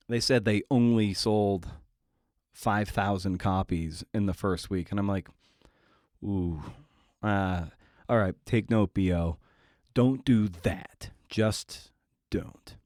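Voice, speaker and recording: A man's voice: fairly low pitch, a little mellow, dry recording. A man in his forties hosting a casual solo podcast, rambling in a wry, self-deprecating way into a close microphone. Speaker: podcast host.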